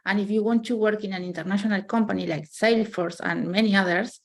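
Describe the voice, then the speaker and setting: A woman's voice: mid-range voice, steady tone, fast talker. A woman in her thirties from Argentina, speaking English with an Argentine Spanish accent as a second language, earnestly giving career advice in a casual chat and often checking in with "right?". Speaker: software engineer guest.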